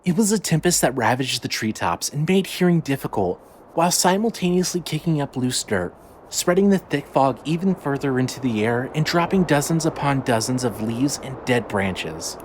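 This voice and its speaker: A man delivers dramatic scripted narration for a fiction podcast. His mid-pitched voice is lively, with wide swings in intonation. A faint bed of ambient noise runs underneath. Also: normal speed.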